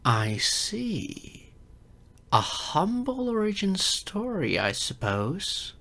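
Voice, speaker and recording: A man's voice: high voice, wildly swooping pitch, drawn-out words, vocal fry, pushed and bright, heavily acted. A man playing an insecure, geeky adult silver fox in an audio roleplay, hesitant, stammering and self-deprecating. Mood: flustered, nervous. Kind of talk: ASMR-style audio roleplay acting.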